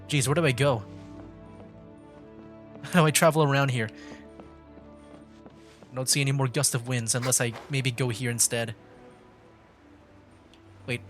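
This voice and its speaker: A man's voice: mid-range voice, fairly bright tone. Casual male gamer over faint music. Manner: thinking aloud, mild exclamations. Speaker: streamer, VTuber gamer. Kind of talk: casual live gameplay commentary. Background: faint game music.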